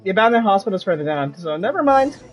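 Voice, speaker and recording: A man's voice: high voice, very animated, fast, faint music behind. A man giving casual commentary as he streams a game.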